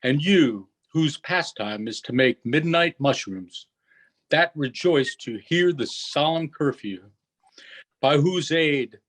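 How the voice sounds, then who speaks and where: A man reading the part of a commanding old sorcerer in measured, declamatory verse, stern yet softening to mercy. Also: fairly high pitch, animated, exaggerated voice. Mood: solemn, incantatory.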